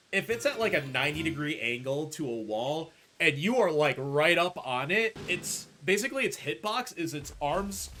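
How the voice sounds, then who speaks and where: A man's voice: on the high side, fairly bright tone, a little hiss or hum. A man casually commentating on his own live speedrun as he plays. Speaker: speedrunner playing the game. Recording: game music behind the voice. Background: video game music.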